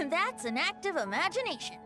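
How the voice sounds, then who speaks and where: A whimsical boyish bard from an anime game. The voice is high and very animated, with a teasing, theatrical lilt. Music is clearly heard behind it. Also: female voice, measured pace, heavily acted. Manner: theatrical, lilting, amused. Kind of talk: anime video game dialogue.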